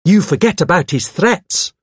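Man acting a shrill, agitated, nervous man. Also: big pitch swings, normal speed, a little mellow, breathy edge, dry recording, clean studio sound. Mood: eager, insistent. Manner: fidgety, eager, insistent. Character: nervous, obstinate, agitated man, anxious and insistent. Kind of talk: audiobook character voice.

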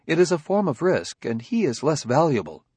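Man acting a polite, husky-voiced logical robot. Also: on the high side, very animated, a little mellow, no room sound, pitched above the performer's own voice, full character voice. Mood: calm, logical. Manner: formal, measured, courteous. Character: polite, logical, unruffled male humanoid robot partner.

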